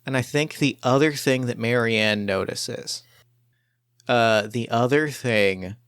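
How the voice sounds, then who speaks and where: A man improvising narration as game master of a tabletop roleplaying game, speaking haltingly and repeating phrases as he builds his descriptions. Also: medium pitch, fairly bright tone, clean tone, dry recording, clean studio sound.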